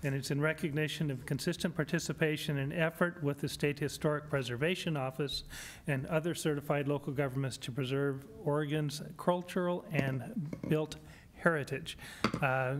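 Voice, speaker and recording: A man on a city council giving a measured report, halting with fillers. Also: medium pitch, a little hiss or hum. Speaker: council member. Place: council chamber dais. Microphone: desk mic.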